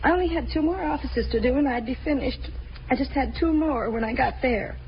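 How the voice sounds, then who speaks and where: High-voiced, rambling, shaken cleaning woman. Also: fairly quick. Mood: shaken, chatty. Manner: rambling, repetitive, plain working-class speech. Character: distraught, chatty cleaning woman who found a body. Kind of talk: 1950s radio drama acting. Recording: muffled, hissy 1950s radio recording.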